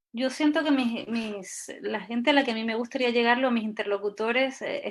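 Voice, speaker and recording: A woman's voice: fairly high pitch, a bit bright. A middle-aged woman from Venezuela, a novelist answering questions in an online panel discussion in a hesitant, reflective way with many restarts. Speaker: novelist and researcher.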